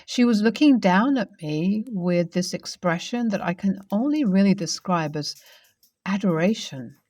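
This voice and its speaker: A woman narrating true ghost stories in a soft, conversational way. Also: mid-range voice, lively intonation, measured pace, no echo. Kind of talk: storytelling narration.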